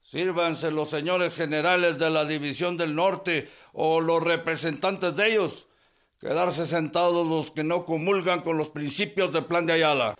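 An earnest revolutionary colonel, formal and insistent. His voice sits high and bright. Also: fairly even intonation, measured pace. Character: earnest male colonel speaking for his general.

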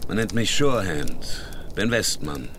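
An actor playing a curt, vengeful, strong westerner around fifty in a German radio play with ambient noise. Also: male voice, low-pitched, sing-song, measured pace, gravelly, full character voice. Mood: grim, composed. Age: 50s. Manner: curt, stern.

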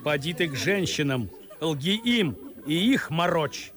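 An actor playing a blunt, good-natured grumbler of a society gentleman in a radio play, frank and mocking. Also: fairly high pitch, animated, a little slow, a crowd in the background. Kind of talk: theatrical radio play acting.

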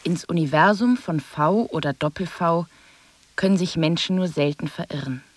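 An actress as a solemn narrator, dry sound. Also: female voice, on the low side, normal speed, no echo, natural voice. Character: solemn storyteller of a strange universe. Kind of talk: poetic scripted radio drama narration.

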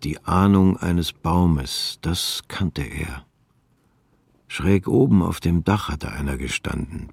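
A man narrating a radio drama in a measured, sober way. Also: extremely low pitch, gravelly, husky, no echo.

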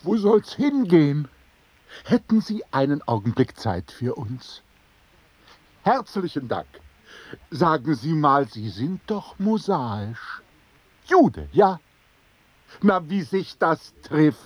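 A man's voice: on the high side, very animated, takes it slowly, long pauses, no room sound, full character voice. A man playing a taunting, pompous bully who leads a rowdy gang, lecturing with mock politeness in a radio play. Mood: mocking false politeness. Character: jeering, sadistic antisemitic bully, leader of a rowdy men's group. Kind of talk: German radio play acting.

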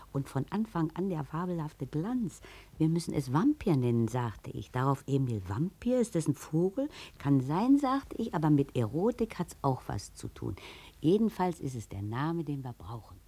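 Middle-aged Berlin hairdresser widow, coy and colloquial, lowish voice, vintage radio drama. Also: female voice, sing-song, moderate pace, warm tone, slightly rough, full character voice. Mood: animated recounting. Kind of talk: 1950s German radio play acting.

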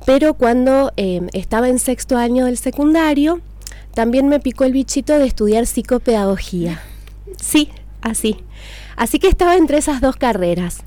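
A woman's voice: high-pitched, expressive, normal speed, mellow. An Argentine woman giving chatty, detailed answers in a conversational interview as a teacher. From Argentina, raised in Buenos Aires and Córdoba province.

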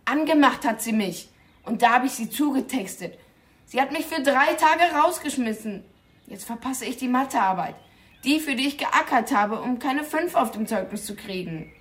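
A high, youthful voice carries a sullen teenage schoolboy in a radio crime drama. He is defiant and slangy, pushing back at his parent. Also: expressive, moderate pace, a bit bright. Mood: sullen, defensive. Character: sullen, angry teenage schoolboy, son of a troubled mother.

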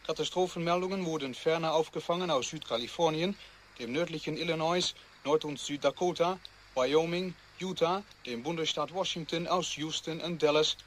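A man's voice: a little high, moderate pace, a little hiss or hum, lightly acted. A man acting in a radio play as a sober wartime newsreader with formal, list-like delivery. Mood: sober, urgent.

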